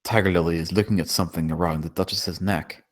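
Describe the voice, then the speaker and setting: A man's voice: low-pitched, fairly even intonation, fast talker. A man narrating a screenplay, reading out stage directions at a table read.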